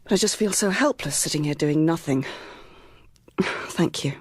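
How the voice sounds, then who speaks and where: A woman's voice: deep voice, moderate pace, a little mellow, slightly rough, dry recording, clean studio sound. A woman playing an anxious, helpless woman in a radio drama. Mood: worried.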